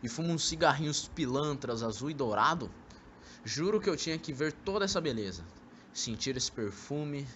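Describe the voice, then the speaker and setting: A man's voice: on the high side, lively intonation, moderate pace, a bit bright. A man with a Brazilian accent acting a jovial, sly young suitor in an amateur radio drama, sweet-talking and teasing. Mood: teasing, flattering. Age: young adult. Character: jovial, sly, pleading young man wooing his ex-lover. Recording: noisy amateur recording.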